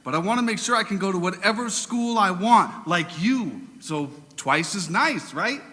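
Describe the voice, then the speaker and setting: A man's voice: high-pitched, animated, moderate pace, pushed and bright, long reverb tail. A man in an audio drama playing an upbeat, friendly teenage best friend, eager and casual.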